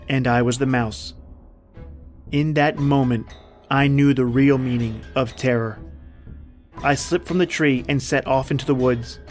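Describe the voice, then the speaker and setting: Radio play lead, male actor as a determined man on the run; mid-range voice, tense storytelling, soft music underneath. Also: mid-range voice, close to an everyday voice. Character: hunted man narrating his own ordeal, tense and determined. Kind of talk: dramatic first-person narration in old-time radio style. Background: background music.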